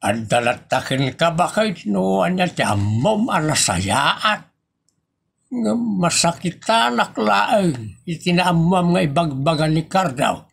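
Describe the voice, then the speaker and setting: A man with a Filipino accent playing an evasive, flustered husband in an audio comedy drama, with a husky, gravelly voice. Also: fairly high pitch, big pitch swings, slow pace, full character voice. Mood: grumbling.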